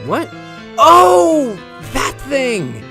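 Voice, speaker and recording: A woman's voice: high-pitched, wildly swooping pitch, drawn-out words, vocal fry, pushed and bright, faint music behind, heavily acted. A woman playing a playful, competitive friend who likes to tease, in an audio drama. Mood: teasing.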